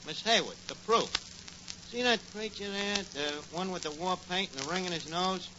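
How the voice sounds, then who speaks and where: An actor playing a boastful, bumbling tavern manager with a New York accent and mangled grammar, in a 1940s radio comedy. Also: male voice, high voice, normal speed, close to an everyday voice. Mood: defensive, showy. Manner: blustering, malapropism-prone, mangled grammar. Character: boastful bumbling tavern manager, a tall-tale-spinning phony. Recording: noisy muffled old radio recording.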